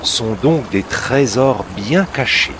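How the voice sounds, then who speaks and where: A man narrating a scripted educational cartoon for children in a deliberate storytelling way, with dramatic pauses. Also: medium pitch, animated, background noise.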